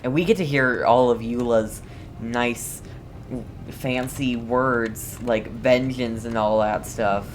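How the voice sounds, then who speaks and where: A woman's voice: rumbling low, fairly even intonation, takes it slowly, slight background noise. A woman giving laid-back, casual commentary on a game playthrough in short reactions. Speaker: gaming streamer.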